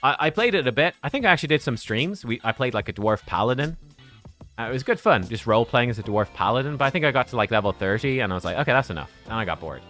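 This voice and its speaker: A man's voice: mid-range voice, lively intonation, quick pace, fairly bright tone, soft background music. A man in his thirties chatting casually with viewers on a game livestream, rambling and joking at his own expense. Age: 30s.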